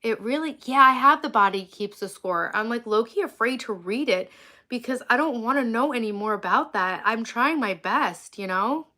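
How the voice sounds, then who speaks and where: A woman in her forties from Long Island, with a slightly high voice roughened by vocal fry. She rambles through a casual live stream, leaning on "like" constantly. She is outdoors under a tree and sounds sniffly from a cold. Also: lively intonation, moderate pace, bright, forceful tone. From Long Island, New York. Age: 40s. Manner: rambling, heavy use of "like". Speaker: livestream vlogger.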